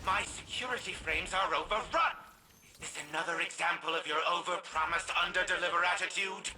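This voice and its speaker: High-pitched, imperious tycoon under siege. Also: male voice, fairly quick, pushed and bright, rough recording. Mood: irritated, demanding. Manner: imperious, clipped. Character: haughty, irritable male tycoon under siege. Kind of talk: dramatic video game acting.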